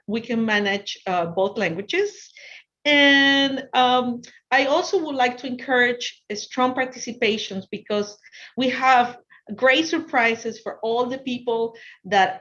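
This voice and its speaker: A woman with an Ecuadorian Spanish accent and a fairly high voice presents to students over a video call. A native Spanish speaker, she is encouraging and repeats phrases for emphasis. The sound is somewhat dull and lo-fi. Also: a bit bright. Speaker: guest speaker, financial consultant.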